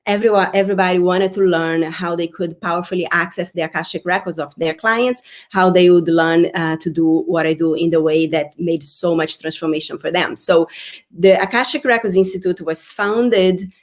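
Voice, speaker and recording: A woman's voice: on the low side, fairly even intonation, moderate pace. Effusive woman with a Brazilian accent. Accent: Brazilian Portuguese. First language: Portuguese. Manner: effusive, repeats words warmly. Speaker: workshop host. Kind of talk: live-stream workshop talk.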